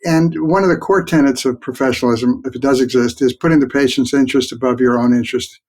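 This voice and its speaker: An older man, a physician and researcher, explaining his work as an interview guest in a measured way. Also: mid-range voice, steady tone, darkish tone.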